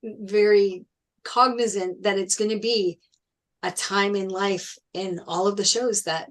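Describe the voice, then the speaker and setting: A woman's voice: mid-range voice, steady tone, unhurried. A woman in a casual group chat, sharing observations in a reflective, conversational way. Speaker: discussion participant.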